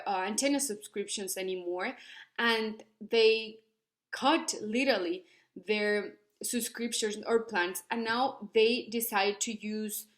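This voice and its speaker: A young woman from Ecuador, giving an earnest academic presentation with many fillers and restarts. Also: on the high side. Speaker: student researcher presenting.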